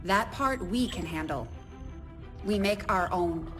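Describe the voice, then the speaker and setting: A woman's voice: on the low side, expressive, slow pace, a bit bright, soft background music. A woman playing a plainspoken, confident engineer in a video game cutscene, dry and matter-of-fact. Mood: resolute, matter-of-fact.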